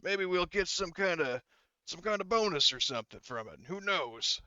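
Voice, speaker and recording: A man's voice: high-pitched, animated, moderate pace, bright, forceful tone, no echo, dull audio, pitched above the performer's own voice, heavily acted. A man voicing a gung-ho, vengeful soldier with a crude, wisecracking manner for a tabletop game.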